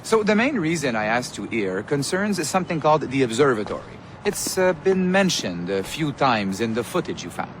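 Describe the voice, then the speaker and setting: An actor playing a breezy, flippant corporate boss in a game, informal, with a Québécois French accent. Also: male voice, mid-range voice, big pitch swings, normal speed, hardly any pauses, background noise, close to an everyday voice. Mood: casual, persuasive. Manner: smooth-talking, informal, slips into French. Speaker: company executive. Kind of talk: scripted game dialogue in casual office chat.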